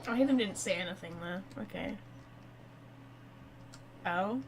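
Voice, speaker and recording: A woman's voice: medium pitch, unhurried, poor audio. A woman giving casual game playthrough commentary, chatty and reactive, thinking aloud. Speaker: gamer, streamer. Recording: faint background noise.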